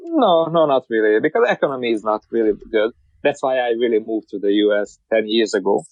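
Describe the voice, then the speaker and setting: A man's voice: mid-range voice, expressive, fairly quick, no room sound. A man with a Hungarian accent talks as a podcast guest in imperfect English, stumbling and repeating words as he restarts his sentences, then pressing his points emphatically. The sound is dry and close, with a slightly dull, muffled tone. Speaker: guest, car wrap shop owner. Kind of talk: casual interview.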